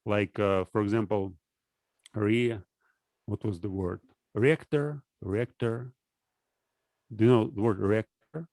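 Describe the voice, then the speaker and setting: A man, a poet-philosopher guest in a casual conversation, who talks haltingly, restarts often and searches aloud for words. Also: lowish voice, darkish tone, no echo.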